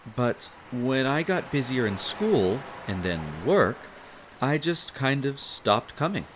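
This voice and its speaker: A man's voice: medium pitch, a little hiss or hum. A man acting as a smitten young barista on a beach outing in an intimate roleplay audio, playful, bashful and caring as he rambles. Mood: wistful.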